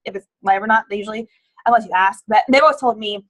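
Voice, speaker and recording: A woman's voice: mid-range voice, quick pace, a bit bright. A woman speaking as a podcast guest on a video call, thoughtful and a bit rambling. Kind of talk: casual video call chat.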